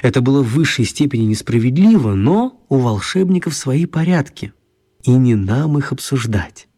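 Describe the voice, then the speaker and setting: A man with a husky, slightly rough voice narrates a children's radio story. He keeps a wry, storytelling tone at a steady middle pitch. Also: normal speed. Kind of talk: scripted radio play narration.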